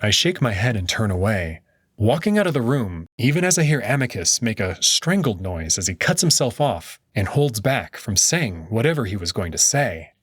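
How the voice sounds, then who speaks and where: A man narrating a visual novel in first person with an expressive, conversational delivery. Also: on the low side, moderate pace, slightly rough, no room sound, clean studio sound.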